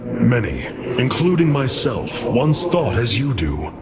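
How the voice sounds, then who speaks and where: A man's voice: medium pitch, big pitch swings, slow, very noisy. A man playing a noble, solemn alien warrior leader in a video game, speaking formally and with stately measure. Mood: grave. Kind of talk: video game cutscene acting. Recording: loud game music behind.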